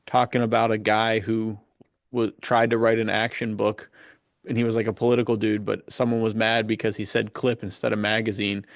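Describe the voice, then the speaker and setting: Enthusiastic slangy man, creaky voice, dry sound. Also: on the low side, level tone, a little fast, dry recording. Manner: slangy and enthusiastic, punctuated with "dude" and "like". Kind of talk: casual podcast book chat.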